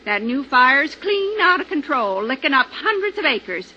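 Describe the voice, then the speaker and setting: A folksy, gossipy older American drive-in owner from fifties radio drama. Her voice is high and bright, and she is nosy and emphatic. The vintage recording is dull. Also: sing-song, measured pace, heavily acted. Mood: gossipy, dramatic. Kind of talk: 1950s radio drama acting. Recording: tinny, faintly noisy old radio recording.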